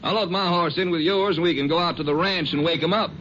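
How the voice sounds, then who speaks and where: An actor in a 1950s radio drama playing an easygoing Texas Ranger partner with relaxed, drawling banter. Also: male voice, a little high, quick pace, close to an everyday voice. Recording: muffled, noisy old radio broadcast.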